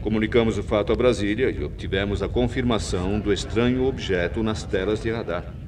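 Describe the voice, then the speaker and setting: A middle-aged Brazilian man playing a composed, authoritative veteran airline captain in a radio drama, speaking in a measured, formal way. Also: fairly low pitch, background noise, natural voice. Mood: earnest recounting. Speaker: radio actor. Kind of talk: 1990s radio drama acting.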